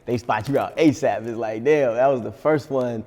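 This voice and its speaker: A man's voice: mid-range voice, normal speed, darkish tone, long reverb tail. A nineteen-year-old man from Long Island with a slight New York accent, talking casually and slangily as a guest in an interview. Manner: laid-back, slangy, laughs often. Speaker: rapper guest.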